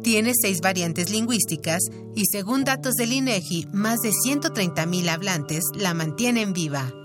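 A woman reading an informative radio segment in an even, scripted way. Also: medium pitch, moderate pace, music in the background. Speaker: announcer. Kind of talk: scripted narration.

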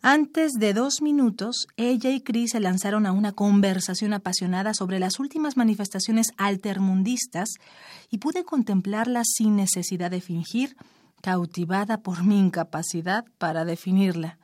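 Woman, literary reading, measured and expressive, mid pitch, dry close mic. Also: dry recording. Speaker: reader of her own short story.

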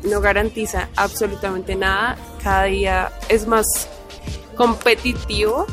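A woman's voice: mid-range voice, steady tone, a little slow, faint music behind. A young woman student with a Colombian accent giving a spontaneous interview answer. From Bucaramanga, Colombia. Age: young adult.

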